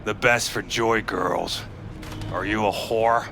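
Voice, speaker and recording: A man's voice: on the low side, fairly even intonation, slow, creaky, noisy background. A man playing a suspicious, surly gatekeeper thug in a video game, curt and challenging. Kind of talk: video game character acting.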